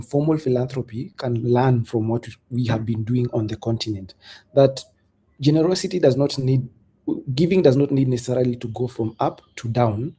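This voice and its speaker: A man speaking as a panelist in a discussion, making his points in a measured, reflective way with rhetorical questions. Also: medium pitch, warm tone, slightly rough.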